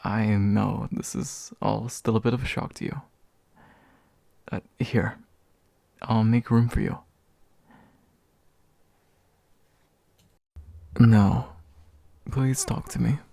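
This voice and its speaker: A courteous demon, acted by a man, speaks in a dark, mellow voice with a gravelly fry. He is gentle, hesitant and formally polite, taking his time in a soft ASMR roleplay meant to comfort. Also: lowish voice, fairly even intonation, slow pace, dry recording, heavily acted. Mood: concerned, tender. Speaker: ASMR voice actor.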